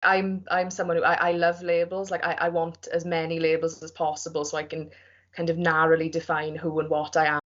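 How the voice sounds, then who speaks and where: A woman's voice: low voice, completely flat delivery, fairly quick, fairly bright tone. A woman's voice, early twenties, talking casually and candidly in an interview. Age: 20s. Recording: muffled lo-fi sound.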